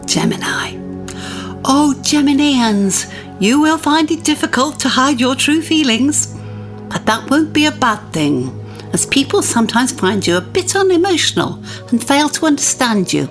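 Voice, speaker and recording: An actress playing a breezy radio astrologer reading out a monthly horoscope. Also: female voice, sits high, big pitch swings, measured pace, faint music behind, full character voice. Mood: breezy, knowing.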